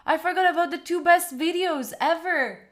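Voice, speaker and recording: A woman's voice: very high voice, normal speed, fairly bright tone. A young woman with an Italian accent chatting casually on a live stream, rambling and giggling with lots of fillers. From Italy. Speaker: study streamer.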